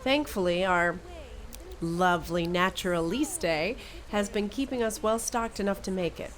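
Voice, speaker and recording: A woman's voice: mid-range voice, a little slow, lightly acted. A woman playing a sly, scheming crew survivor with a sarcastic, wry manner.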